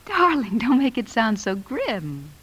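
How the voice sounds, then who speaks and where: An actress playing a devoted young wife and mother, gentle and affectionate, in 1950s radio drama style. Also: a little high, very animated, measured pace, husky, exaggerated voice. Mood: reassuring. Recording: hissy vintage radio broadcast.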